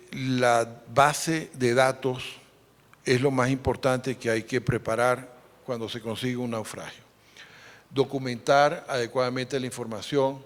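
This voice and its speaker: Man lecturing in a reverberant hall. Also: mid-range voice. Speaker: interpreter presenting a speaker's lecture. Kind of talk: conference lecture on numismatics, relayed for another speaker. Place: large conference hall. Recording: echoey hall with long reverb.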